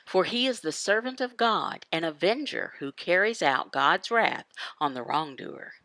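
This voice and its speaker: A woman giving a devotional Bible teaching in emphatic, halting phrases, asking questions and answering them. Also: lowish voice, animated, sharp tone, dry recording. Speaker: voice interpreter for a signed devotional. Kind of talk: devotional Bible teaching, voiced from sign language.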